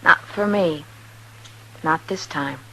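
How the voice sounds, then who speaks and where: A woman's voice: deep voice, slow pace, fairly bright tone, slight background noise, full character voice, rough recording. An American actress playing a proud young frontier woman in a 1950s radio drama, direct and self-possessed. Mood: happy, excited. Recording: vintage radio recording, crowd sounds.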